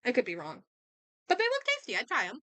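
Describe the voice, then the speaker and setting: A woman's voice: on the high side, huge pitch swings, quick pace, a bit bright, no echo. A woman livestreamer chatting casually as she games, reacting and thinking aloud, often doubling back on her words. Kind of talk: casual chat while gaming, reacting aloud.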